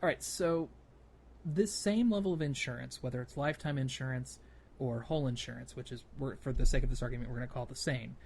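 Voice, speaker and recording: A man's voice: mid-range voice, brisk, darkish tone. A man co-hosting a casual gaming podcast, explaining his ideas by thinking aloud as he goes. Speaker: podcast co-host. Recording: clear background noise.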